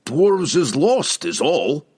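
A man's voice: on the high side, sing-song, slow pace, a little mellow, slightly rough, pitched above the performer's own voice, exaggerated voice. A man voicing a flustered, stubborn old dwarf king with a blustering manner and rustic dialect in an audiobook. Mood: blustering, defensive.

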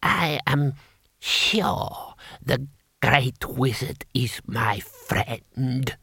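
A man's voice: mid-range voice, creaky, sharp tone, breathy edge, no room sound, clean studio sound, full character voice. A man voicing an ancient, gleefully cruel emperor in a thin, whispery old voice for an audiobook. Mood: soft menace. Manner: slow, halting, drawn-out. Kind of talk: audiobook character voice.

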